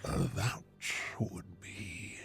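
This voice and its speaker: A man playing a sinister alien schemer in an audio drama, gravelly and halting, servile yet sly. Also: sits low, fairly even intonation. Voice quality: gravelly, breathy at times. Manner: halting, deliberate phrasing. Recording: music under the voice. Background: music.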